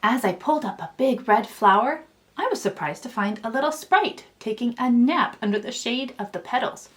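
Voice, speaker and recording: Female children's storyteller: higher-pitched, highly animated, expressive read-aloud delivery. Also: normal speed. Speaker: children's author reading own book aloud.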